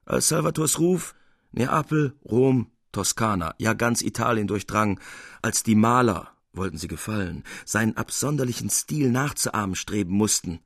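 A man's voice: lowish voice, animated, moderate pace, creaky, fairly bright tone, no room sound, clean studio sound. A man giving a formal, articulate reading of a classic literary novella as narrator. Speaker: reader, narrator.

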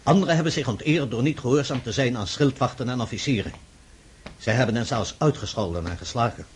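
A man acting a dutiful army officer with a medium-pitched voice. He reads formally and deliberately in radio play style, with a little noise on the recording. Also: lightly acted. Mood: formal, grave. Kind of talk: radio drama acting, reading aloud.

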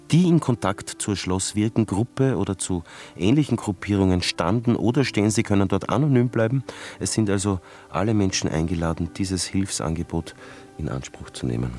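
An actor playing a polite, soft-spoken radio interviewer in a naturalistic radio drama. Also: male voice, low voice. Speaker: radio host.

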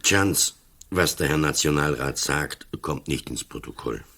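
Very deep-voiced old police commissioner. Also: male voice, gravelly, heavily acted. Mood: calm, firm. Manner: calm, deliberate, dry. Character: old, composed, dry-witted police commissioner. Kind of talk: radio play acting.

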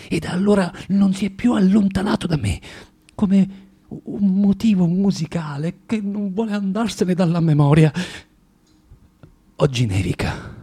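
Husky, tormented underground man. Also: medium pitch, dark tone. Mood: gloomy, wistful. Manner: halting, repeating words, swinging from muttering to outbursts. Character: bitter, tormented forty-year-old underground man, spiteful and self-contradicting. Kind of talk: theatrical radio drama monologue.

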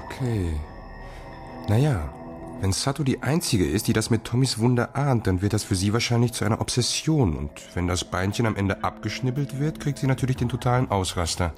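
A laid-back young male writer, voiced by an actor, talks in relaxed slang. Music runs clearly beneath the radio play. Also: lowish voice, a little fast, close to an everyday voice.

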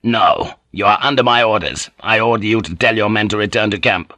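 A man's voice: on the low side, fairly quick, pushed and bright, no room sound. A man voicing a cold, commanding samurai lord in an audiobook, giving stern, imperious orders. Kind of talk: audiobook character voice.

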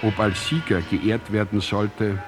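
A man narrating a literary drama as a solemn, declamatory and deliberate recitation. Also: lowish voice, little pitch movement. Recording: music under the voice. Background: music.

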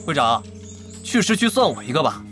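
An actor playing a mocking, cocky online guild veteran in an audio drama. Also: male voice, fairly high pitch, very animated, a little fast, a bit bright, soft background music. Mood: eager, cocky. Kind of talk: Chinese audio drama acting.

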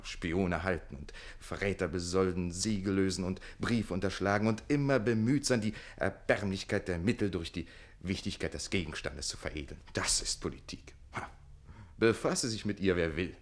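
A man plays an irreverent servant in a classic stage-style radio drama. His voice sits on the low side, glib and ironic, with a declamatory theatrical turn. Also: normal speed, close to an everyday voice. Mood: scornful, declamatory. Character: witty, cheeky manservant, quick-thinking and irreverent.

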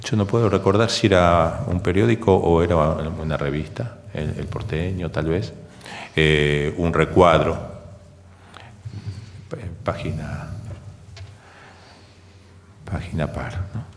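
A man's voice: low-pitched, fairly even intonation, takes it slowly, pauses often. A man giving careful, hesitant interview testimony, qualifying what he says. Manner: careful, qualifying his statements. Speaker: interviewee, witness. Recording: echoey hall reverb.

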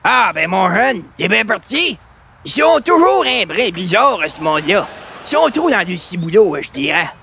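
High, creaky Québécois grandpa. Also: male voice, very animated, moderate pace, sharp tone, slight background noise, full character voice. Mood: folksy. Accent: Quebec French. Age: older. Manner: folksy, exclamatory, hesitant rambling. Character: touchy, folksy old grandfather, rambling storyteller. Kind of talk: old-time radio comedy acting.

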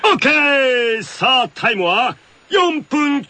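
A man hosting a radio show in a playful, excitable announcing style, switching between scripted segments and banter. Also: very high voice, slow. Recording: music playing behind the voice. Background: music.

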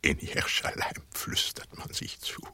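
A man playing an ailing, cruel Roman procurator in a radio drama, speaking in a halting, pained and menacing way. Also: pushed and bright, no room sound, in a whisper. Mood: quiet menace.

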